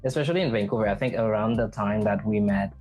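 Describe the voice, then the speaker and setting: A man's voice: fairly low pitch, quick pace, darkish tone, faint music behind. A man from Haiti hosting a podcast, talking casually and reflectively about personal experience. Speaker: podcast host.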